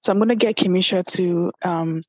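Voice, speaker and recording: A woman's voice: fairly low pitch, fairly quick, dry recording. Friendly woman podcast host, dry sound. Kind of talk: interview.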